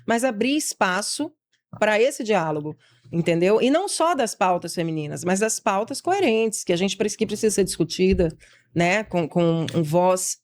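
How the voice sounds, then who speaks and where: A woman's voice: mid-range voice, expressive, moderate pace, darkish tone. A woman co-host explaining her new show in an articulate, explanatory manner. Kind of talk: casual talk show conversation.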